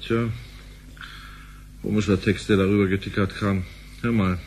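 A man's voice: on the low side, steady tone, normal speed, a little mellow, slight background noise, lightly acted. An actor playing a grumbling, suspicious man who works at a bank, in conversational radio play style. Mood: reading out, dry.

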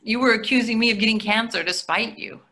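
A woman's voice: a little high, quick pace, sharp tone. An older woman reading the part of a practical, exasperated wife in a stage play, dry and matter-of-fact. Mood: mildly defensive. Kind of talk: readers theatre play reading. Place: plain room indoors, video call.

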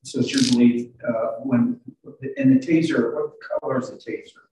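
An older male attorney questions a witness haltingly, often doubling back on his words. His voice is dark and mellow, picked up from a distance in a very echoey space. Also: medium pitch, steady tone, a little hiss or hum. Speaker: defense attorney cross-examining. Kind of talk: courtroom cross-examination. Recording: very echoey, distant video call audio.

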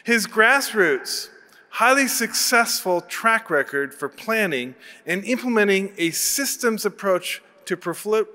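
Man in his sixties, formal introduction speech, measured delivery, forceful bright tone, big echoing auditorium. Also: high-pitched. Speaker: speaker introducing a lecturer. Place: large auditorium stage. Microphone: podium microphone. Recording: long hall reverb from PA.

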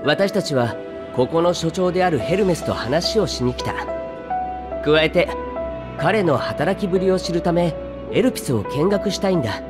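Guy playing a friendly easygoing young game mage, lightish voice, really lively, polite, breezy. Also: fairly high pitch, moderate pace, music in the background, close to an everyday voice. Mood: friendly, apologetic. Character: affable, easygoing, courteous young male robed mage guide. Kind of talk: Japanese video game voice acting.

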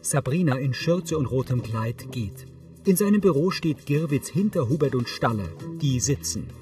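A man's voice: medium pitch, lively intonation, normal speed, recorded from a distance, music in the background. A man reading neutral, scripted audio description for a TV series.

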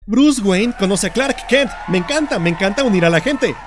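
A man voicing a brash, celebrity-obsessed party guest in a comic fandub, loud and gushing, with a Latin American Spanish accent. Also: high voice, lively intonation, normal speed, very noisy. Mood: excited. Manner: loud, gushing, mock-indignant.

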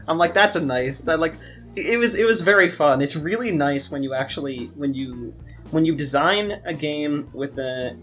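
A young man in casual podcast chat as a co-host, talking in a halting way and often rephrasing as he goes. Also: a little high, fairly bright tone. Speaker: podcast co-host, game designer. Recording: music behind the voice. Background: background music.

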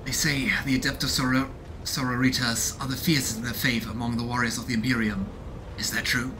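A man playing a dignified, empathetic noble rogue trader in a video game, speaking formally and in measured phrases. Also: mid-range voice, level tone, noisy background, lightly acted. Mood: inquiring.

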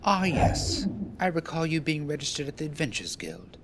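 A man's voice: a little high, very animated, moderate pace, fairly bright tone, slight background noise. A man playing a stern, suspicious naval officer, formal and condescending, in a game fan dub.

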